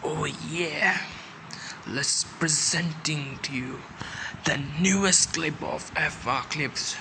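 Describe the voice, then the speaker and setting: A video channel host narrating an app review and tutorial in a halting, repetitive way. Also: mid-range voice, background noise.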